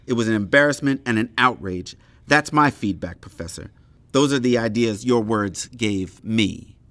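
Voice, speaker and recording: An actor playing a stern, uncompromising intellectual in an audio play, speaking in formal, emphatic, articulate sentences. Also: male voice, on the low side, normal speed, a bit bright, no room sound. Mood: outraged. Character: stern, uncompromising Northern intellectual doctor, righteous and critical. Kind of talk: dramatic audio play dialogue.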